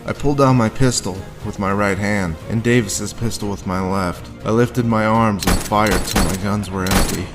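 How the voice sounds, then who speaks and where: An actor playing a grim, haunted war veteran, telling his story deliberately in a noir audio drama. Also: fairly low pitch, steady tone, over music, natural voice. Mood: grim, tense. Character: haunted hard-boiled male war veteran recounting his grim past.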